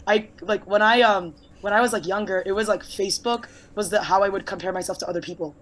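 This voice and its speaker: Young woman host in her twenties; fast, loose, filler-heavy chat with casual swearing. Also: medium pitch, fairly even intonation, poor audio. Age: 20s. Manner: rapid and rambling, many fillers and casual swearing. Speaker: podcast host. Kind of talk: casual conversation.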